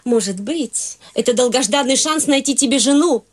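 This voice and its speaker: A bossy, fussy society mother. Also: high-pitched. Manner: brisk, condescending, overbearing. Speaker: actress in a radio play.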